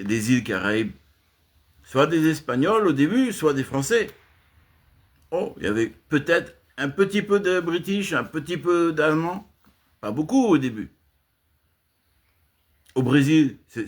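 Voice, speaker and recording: A man delivering an impassioned spoken rant to his channel, swinging from emphatic high outbursts to low muttered asides, with frequent restarts. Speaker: channel host giving a monologue.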